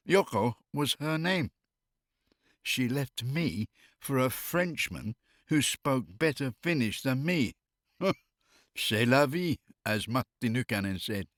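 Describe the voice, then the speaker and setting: A man's voice: medium pitch, expressive, slow pace, a bit bright, no room sound, studio quality. A middle-aged or older man chatting casually and humorously, telling anecdotes in a wry way and often amused by his own jokes. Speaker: expatriate living in Finland.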